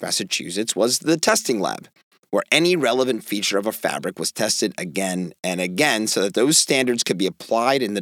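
A middle-aged man talks through a cold, his voice raspy and hoarse as he delivers opinionated podcast commentary. His pitch swings widely with emphatic, wry stresses on key words. The sound is dry and close, with no room around it. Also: fairly bright tone, dry recording. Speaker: podcast host.

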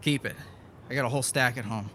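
A man's voice: medium pitch, quick pace, noisy background, natural voice. A man playing a sociable, encouraging scientist in an audio drama, speaking casually.

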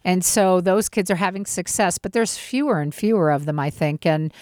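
A woman's voice: lowish voice, moderate pace, darkish tone, dry recording, clean studio sound. A woman in her fifties, a guest who founded a nonprofit, speaking in a heartfelt, flowing, inspirational way. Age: 50s. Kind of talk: conversational interview.

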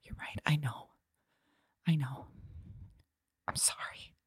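A woman's voice: low-pitched, slow, slightly rough, dry recording, studio quality, exaggerated voice. A woman playing a frightened woman who whispers, repeats herself and breaks off mid-sentence. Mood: hushed. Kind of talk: audio drama monologue.

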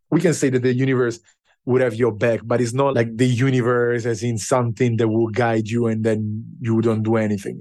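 A man who learned English later in life, a podcast guest telling his story in an unhurried, reflective way with pauses. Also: medium pitch, darkish tone, no echo. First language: not English. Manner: unhurried and thoughtful, with pauses and fillers.

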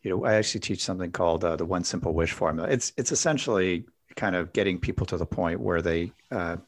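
A man with a very low voice hosts a relaxed interview. He asks long, winding questions, pausing often on ums and you-knows. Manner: rambling questions with many fillers. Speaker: interview host. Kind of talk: casual interview conversation.

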